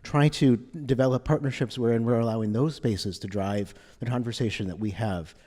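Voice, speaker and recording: A man speaking as a museum panelist, earnest and measured. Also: mid-range voice, mellow. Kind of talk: panel discussion. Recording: echoey hall.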